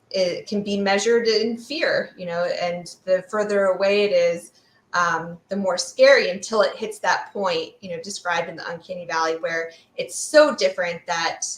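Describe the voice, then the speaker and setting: A woman with a slightly low voice speaks in a roomy, echoey space. She explains things conversationally, as an expert guest would in an interview. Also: a bit bright. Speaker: guest expert, fear sociologist.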